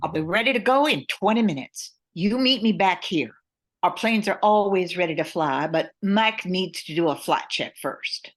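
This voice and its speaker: A woman's voice: fairly low pitch, expressive, moderate pace. A woman playing a capable but worried airline owner and pilot, reading earnestly in a radio play. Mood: resolute. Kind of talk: old-time radio play acting.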